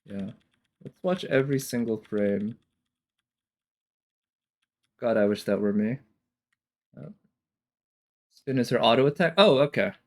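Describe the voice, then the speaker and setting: A man's voice: mid-range voice, a little slow, long pauses. A man giving casual, off-the-cuff reaction commentary as a streamer, thinking aloud analytically with casual swearing.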